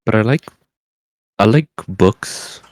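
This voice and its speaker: A man's voice: lowish voice, expressive, takes it slowly, dry recording, studio quality. A man co-hosting a podcast, chatting casually and joking about learning languages. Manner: playful, jokey, easygoing. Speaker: podcast co-host. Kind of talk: casual chat.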